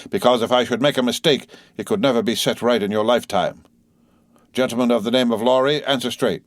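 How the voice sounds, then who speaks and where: A man voicing a gruff, suspicious coach guard who keeps his gun ready. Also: mid-range voice, a little fast, no echo, pitched above the performer's own voice, close to an everyday voice. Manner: gruff, threatening.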